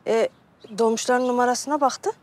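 An actress playing a gentle, doting young mother in a television drama, tender and coaxing, full of endearments. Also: on the high side, fairly even intonation, normal speed, lightly acted. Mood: reassuring, proud.

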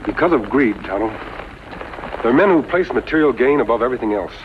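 A man's voice: medium pitch, expressive, fast, natural voice. A man playing a noble, resolute western hero in a 1950s radio drama, with an earnest, declamatory delivery. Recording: dull 1950s radio recording, faint noise.

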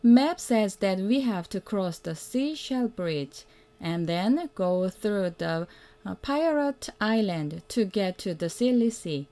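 Slow, mellow woman reading to children. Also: medium pitch, expressive. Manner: simple, deliberate, prompting the listener with questions. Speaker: mother reading a picture book to children. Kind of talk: read-aloud children's storytelling.

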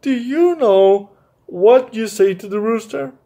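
A man's voice: high voice, animated, slow pace, dark tone, pitched above the performer's own voice, heavily acted. A man voicing an earnest little boy in a children's storybook, speaking in a simple, childlike way. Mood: gentle. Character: earnest little boy who loves his teddy bear.

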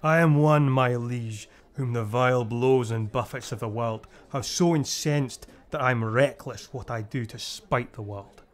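Second killer, Shakespeare radio play: male actor, middle pitch, embittered and reckless. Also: unhurried, hardly any pauses, lightly acted. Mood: bitter, resolved. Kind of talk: classical Shakespearean radio drama acting.

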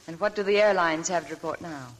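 A woman's voice: on the low side, close to an everyday voice, rough recording. Woman playing a weary, guarded young woman. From Maine. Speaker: actress in a radio play. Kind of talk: 1940s radio acting. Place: radio studio.

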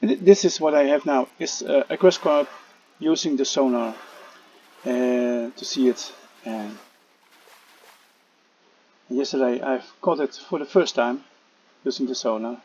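A man narrating a casual gameplay tutorial, speaking haltingly with frequent "uh" fillers and restarts. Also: medium pitch, a little mellow, highs cut off, slight background noise. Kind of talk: casual game tutorial voice-over.

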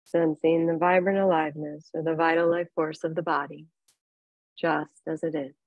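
A woman teaching yoga nidra, leading a guided relaxation in a calm, unhurried, soothing manner with long pauses. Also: deep voice, no room sound, highs cut off. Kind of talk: guided relaxation meditation, instructing softly.

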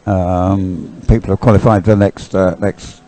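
A man giving live, unscripted sports commentary in a halting, thoughtful way. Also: low-pitched, little pitch movement, warm tone, no room sound.

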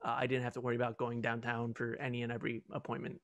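A man's voice: mid-range voice, completely flat delivery, very fast, fairly bright tone, no room sound. A young man answering casually on a video call Q&A as a student audio team member. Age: young adult.

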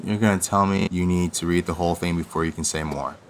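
A man's voice: low-pitched, steady tone, fast, slight background noise. An actor playing a curious, joking teenage boy with slangy phrasing in a fantasy audio drama.

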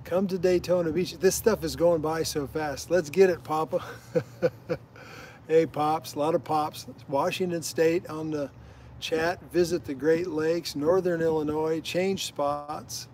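A man's voice: on the high side, steady tone, a little slow, darkish tone, a little hiss or hum. A middle-aged man from Iowa, the host's father, chatting on a fishing livestream by a canal, reading viewer comments and telling rambling history stories. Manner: folksy, rambling storyteller who drifts onto side tracks. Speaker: the host's father, guest storyteller. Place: outdoors beside a canal.

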